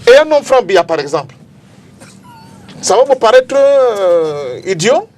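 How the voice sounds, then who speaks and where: A man's voice: sits high, sing-song, measured pace, a little mellow. A Cameroonian-accented man debating politics as a panel guest, speaking emphatically and repeating phrases for effect.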